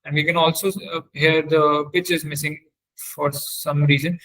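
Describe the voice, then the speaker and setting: A man with a somewhat high-pitched voice leads a technical webinar. He talks like a trainer walking through a demonstration on screen. His explanation is halting, with repeated phrases and self-corrections. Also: level tone, pushed and bright, slight background noise, rough recording.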